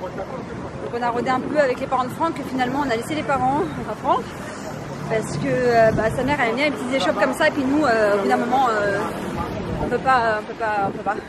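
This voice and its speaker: A woman's voice: fairly high pitch, a little fast. Chatty young woman on a noisy street. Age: young adult. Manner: chatty, rambling with many fillers. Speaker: vlogger. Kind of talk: casual travel vlog. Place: busy city street outdoors. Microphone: handheld camera at arm's length. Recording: noisy street, loud crowd. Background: loud traffic and crowds.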